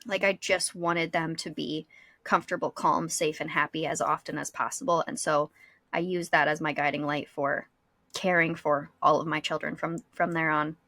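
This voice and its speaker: A woman's voice: low-pitched, steady tone, moderate pace, bright, forceful tone, no room sound, studio quality. A young adult woman talking casually and reflectively as a podcast co-host. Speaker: school psychologist. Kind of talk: casual conversational podcast talk.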